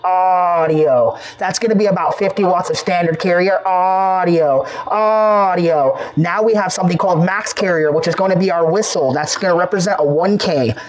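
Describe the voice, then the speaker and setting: A man's voice: high voice, normal speed, hardly any pauses, low-quality recording. An American man in his thirties, a shop technician explaining and selling in a casual, salesman-like way. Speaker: radio repair shop technician. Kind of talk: casual product demo and shop pitch. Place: small cluttered radio repair shop.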